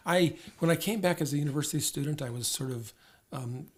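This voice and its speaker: A man's voice: on the high side, brisk. An older man and former diplomat answering interview questions at length, hesitant, often restarting and repeating words.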